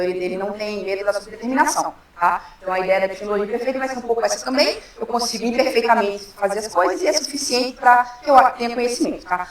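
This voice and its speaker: Female Brazilian academic speaker, high-pitched and quick, informal explainer with frequent check-in tags. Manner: informal, frequent tag questions seeking assent. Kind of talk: explanatory academic talk with slides. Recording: very echoey room, distant microphone.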